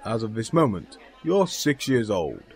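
A man's voice: lowish voice, big pitch swings, unhurried, breathy edge, full character voice. An actor playing a brash, affectionate theatre man in a radio drama, bantering and teasing his sweetheart. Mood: wry. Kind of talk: dramatic radio play acting.

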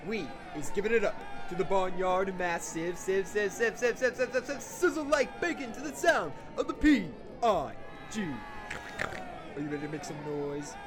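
A brash pig DJ in an audio drama, very high for a man and swinging widely in pitch. He talks in slangy hype-man patter over clear club music. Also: lively intonation, normal speed. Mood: hyped up. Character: brash, excitable pig nightclub DJ.

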